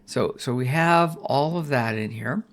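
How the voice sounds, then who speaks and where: A man's voice: mid-range voice, measured pace, a bit bright. An older man teaching a Bible study lesson, folksy and rambling, restarting phrases and checking in with "right?".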